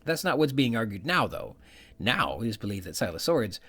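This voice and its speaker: A man's voice: medium pitch, sing-song, a little fast, bright, forceful tone. Man presenting a science explainer podcast. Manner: explanatory, reads technical terms and quotations aloud with informal asides. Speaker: podcast host. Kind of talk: semi-scripted science explainer, reading and summarising research papers.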